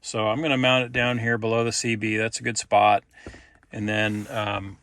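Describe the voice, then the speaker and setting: A man's voice: lowish voice, flat delivery, moderate pace, fairly bright tone, no echo. A man giving a casual, hands-on install walkthrough, explaining it informally step by step. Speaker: DIY video presenter.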